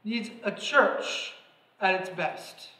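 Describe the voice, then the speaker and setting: A middle-aged man preaches from the pulpit with a high, bright, forceful voice. He is earnest and swells into emphatic calls to his listeners. The room adds a roomy sanctuary echo. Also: very slow. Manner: earnest, rising to emphatic exhortation, gesturing. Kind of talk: sermon. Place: large church sanctuary, at a pulpit. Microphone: pulpit mic. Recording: echoey sanctuary reverb.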